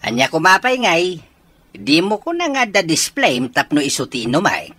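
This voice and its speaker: Bright-voiced, cocky, scheming father. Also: fairly high pitch, very animated, moderate pace, slightly rough, full character voice. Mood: dismissive. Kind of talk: radio drama acting.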